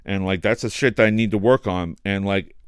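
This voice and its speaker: Loud, passionate deep-voiced man. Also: brisk, clear, resonant tone, no echo, clean studio sound. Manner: loud, passionate, swears, heavy use of "like". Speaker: podcast host. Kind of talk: casual podcast chat.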